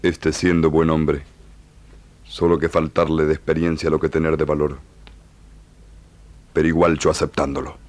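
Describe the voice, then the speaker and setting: A low, grave male chief of the pampas for Argentine radio drama. He speaks with an Argentine accent in solemn, broken phrases, dignified and measured. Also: little pitch movement, a little mellow. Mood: measured, reserved.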